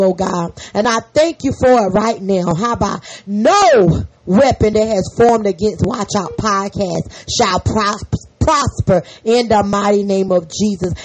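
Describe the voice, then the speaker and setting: Hoarse woman preaching a fervent prayer. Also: mid-range voice, a little mellow, low-quality recording. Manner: fervent, repetitive, peppered with amen. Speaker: podcast host and prayer leader. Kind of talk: spoken prayer and encouraging sermon.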